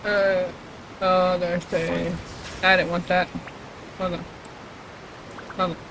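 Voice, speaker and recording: A woman's voice: lowish voice, level tone, takes it slowly, noisy background. A woman giving casual game stream commentary, muttering and swearing to herself. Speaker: livestreamer.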